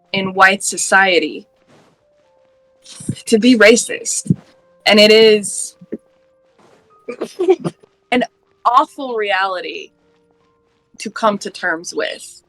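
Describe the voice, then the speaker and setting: Woman late 30s, from the Deep South, slow and bright, passionate panelist, dry sound. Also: dry recording, poor audio. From Georgia, US Deep South. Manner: passionate, earnest, laughs often. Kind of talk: casual panel discussion.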